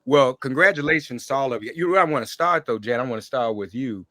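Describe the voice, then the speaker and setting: A man's voice: mid-range voice, fast, sharp tone, dry recording. A man hosting a conversational interview show, welcoming guests and addressing them directly. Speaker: podcast host interviewing guests.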